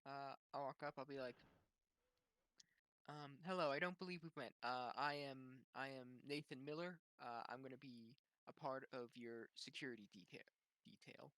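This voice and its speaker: A man's voice: medium pitch, moderate pace, a bit bright, dry recording. A man speaking casually and tentatively as a player in a tabletop roleplaying game.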